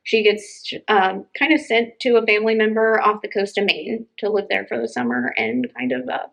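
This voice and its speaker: A woman's voice: medium pitch, normal speed, vocal fry. She is a woman with a mid-range voice, co-hosting an informal book recommendation chat. Her delivery is conversational and effusive, full of open enthusiasm for what she recommends. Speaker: library podcast co-host.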